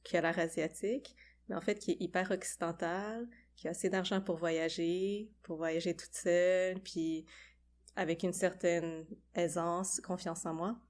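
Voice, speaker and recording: A woman's voice: lowish voice, fairly even intonation, a little slow, darkish tone, slight background noise. A young woman with a Quebec French accent, hosting a podcast and musing conversationally. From Quebec, Canada. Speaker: podcast host. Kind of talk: casual conversation.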